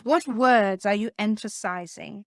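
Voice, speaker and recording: A woman with a soft voice, teaching communication skills as a coach in an emphatic way, often putting questions to the listener. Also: medium pitch, measured pace, no room sound, studio quality. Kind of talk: teaching presentation tips to camera.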